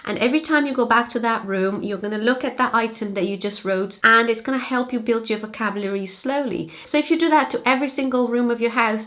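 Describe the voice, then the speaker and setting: A young woman from Brazil whose first language is Portuguese, speaking English with a British-leaning accent, giving clear, upbeat vocabulary tips in a home office. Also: a little high. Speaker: language-learning vlogger. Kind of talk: friendly tutorial vlog.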